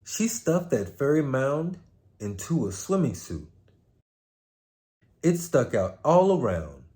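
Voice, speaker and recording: A man hosting a story time, with a laid-back, slangy manner, moving between casual chat and expressive read-aloud. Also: medium pitch, slow. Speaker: host reading a story aloud.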